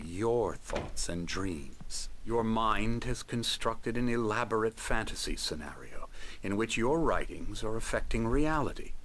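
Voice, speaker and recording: Game cutscene role: patronising male clinic doctor, deeper register, controlled and soothing delivery, clearly noisy capture. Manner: measured, patronising, reassuring. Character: smooth, condescending male psychiatrist running a clinic. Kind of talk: video game cutscene acting.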